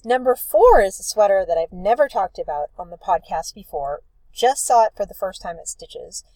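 A woman co-hosting a knitting podcast. She is sniffly from allergies and talks in a relaxed, rambling way. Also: medium pitch, normal speed, no room sound, poor audio. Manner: relaxed, rambling, self-deprecating. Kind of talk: casual chat. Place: outdoors at a beach.

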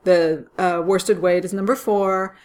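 A woman co-hosting a casual podcast while getting over a cold, agreeable and quick with short affirmations. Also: lowish voice, steady tone, a little mellow. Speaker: podcast co-host. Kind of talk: casual chat and podcast outro.

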